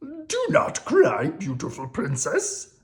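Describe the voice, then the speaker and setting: A woman reading a storybook aloud, voicing an eager, gallant furry monster in a high, wobbly, theatrical voice. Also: huge pitch swings, slow, full character voice. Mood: earnest.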